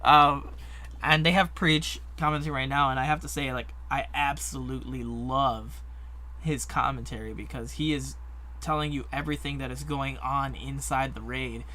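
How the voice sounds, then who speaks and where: A man co-hosting a casual gaming podcast, chatty and lively, with lots of likes and ums. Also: medium pitch, normal speed, a little hiss or hum. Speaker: co-host.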